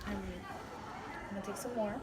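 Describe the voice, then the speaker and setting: A young American woman with a voice hoarse from being sick, chatting through a casual makeup tutorial in a low-energy, hesitant way. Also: flat delivery, fairly quick. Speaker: makeup vlogger. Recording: very noisy room with background chatter. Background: people and children talking.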